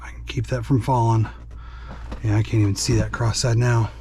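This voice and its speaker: Regular guy with a mid-range voice talking thru a hands-on how-to, kinda thinking out loud, bit of noise behind him. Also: mid-range voice, flat delivery, normal speed. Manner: thinking aloud, plainspoken. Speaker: host and truck driver demonstrating an installation. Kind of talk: casual hands-on tutorial narration.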